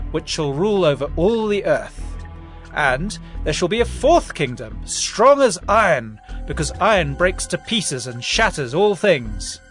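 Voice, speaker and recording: An actor playing a young male prophet who solemnly interprets a king's dream in a measured, declamatory way, in a scripture audio drama. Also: a little high. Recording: music under the voice.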